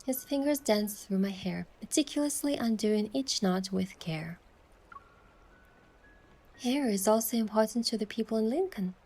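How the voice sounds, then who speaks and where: An actress playing a playful, affectionate young heroine who softly narrates her own love story in a romance game. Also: mid-range voice, lively intonation, normal speed. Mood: tender.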